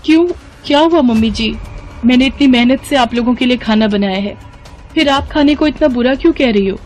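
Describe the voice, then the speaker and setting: Woman playing a plaintive, high-voiced young daughter-in-law. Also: fairly quick, a little mellow, soft background music, natural voice. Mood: hurt, puzzled. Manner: plaintive, earnest. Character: mistreated, meek young pregnant daughter-in-law. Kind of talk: animated moral story acting.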